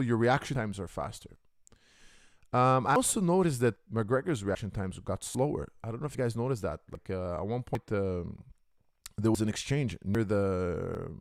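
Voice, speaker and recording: A man hosting a casual livestream podcast through a deep robotic voice filter, chatting with viewers and calling them guys. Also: gravelly, dry recording, studio quality. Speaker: podcast host. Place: Canada.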